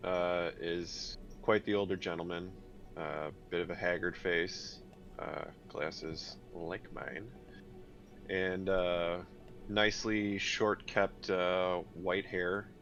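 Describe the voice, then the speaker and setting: A man's voice: low-pitched, steady tone, slow pace, fairly bright tone. A man speaking casually and hesitantly as a player in a tabletop roleplaying game, on dull audio. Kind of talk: casual character introduction. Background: music.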